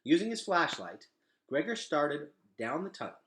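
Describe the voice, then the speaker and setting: A man reading a children's novel aloud in an expressive, dramatic storytelling style. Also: fairly high pitch, normal speed. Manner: animated, dramatic delivery.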